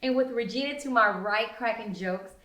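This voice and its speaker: A woman with an American accent, an author reading her novel as expressive first-person narration, with dramatic, emphatic phrasing. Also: on the high side, moderate pace. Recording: roomy indoor echo.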